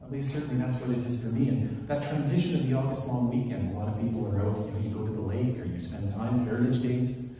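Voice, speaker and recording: A Swiss-born man in his forties whose first language is French, giving a relaxed, chatty sermon as worship leader into a handheld mic in a large church. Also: mid-range voice, fast, hardly any pauses. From Switzerland. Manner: relaxed storytelling, chatty asides. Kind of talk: conversational sermon. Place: large wood-panelled church sanctuary. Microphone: handheld microphone, filmed from far. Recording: echoey church hall from a distance.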